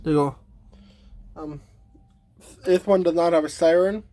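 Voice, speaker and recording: Higher-voiced man giving a halting casual demo. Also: steady tone, warm tone, a little hiss or hum. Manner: halting, trails off mid-thought. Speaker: hobbyist demonstrating a weather radio collection. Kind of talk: casual unscripted product demonstration.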